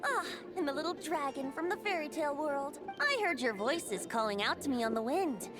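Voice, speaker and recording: A woman's voice: high voice, animated, normal speed. A woman voicing a playful, carefree boyish bard who is a wind god in an anime video game, with a lilting, theatrical, poetic delivery. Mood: cheerful, teasing. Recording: game music behind the voice.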